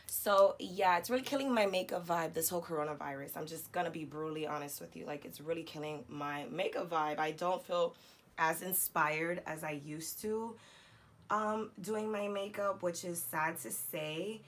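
Hoarse, raspy young woman chatting. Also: fairly low pitch, moderate pace. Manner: chatty, slangy, upbeat. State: hoarse, lost voice. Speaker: beauty vlogger. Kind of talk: casual chatty product talk. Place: home beauty room, indoors.

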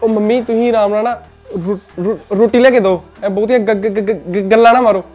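A man's voice: high-pitched, fairly even intonation, a little fast, a little mellow, soft background music, lightly acted. A man playing a young village son with a heavy stutter, who repeats first sounds, gets stuck mid-word, then bursts out. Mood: stuttering, pleading. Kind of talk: village comedy sketch acting.

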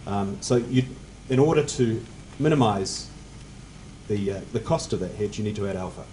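Man, 40s, panelist, measured, technical, low, mellow, faint room noise. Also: animated, rough recording. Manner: measured, technical, trailing off at phrase ends. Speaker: panelist, fund manager. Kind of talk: panel discussion answer. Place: conference room on a panel table. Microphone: table mic on stand.

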